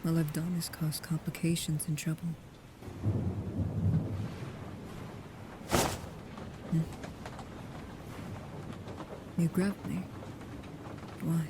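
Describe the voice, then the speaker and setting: Woman playing a low, soft-spoken guarded vampire. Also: level tone, moderate pace, noisy background. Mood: wary, hurt. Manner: soft, hesitant, often dropping into whispers. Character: guarded, protective female vampire with a painful past. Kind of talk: slow, intimate ASMR roleplay monologue.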